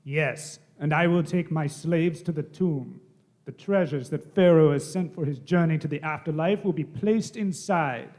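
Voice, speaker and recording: Man acting a higher-voiced formal Egyptian overseer. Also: normal speed, darkish tone, natural voice. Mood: dutiful, grave. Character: dutiful ancient Egyptian overseer of slaves.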